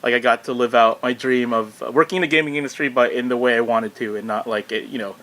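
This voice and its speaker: A man's voice: mid-range voice, fairly even intonation, fast talker, fairly bright tone. Casual, joking man with faint background noise. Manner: self-deprecating, joking. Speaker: podcast guest, video game company public relations specialist. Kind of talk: casual group chat, telling anecdotes.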